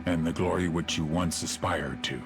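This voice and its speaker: An actor playing a brooding, menacing, proud cartel boss, speaking gravely and with command in a dramatic scripted style. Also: male voice, low voice, steady tone, moderate pace, over loud music. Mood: brooding, regretful. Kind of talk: dramatic scripted narration and dialogue.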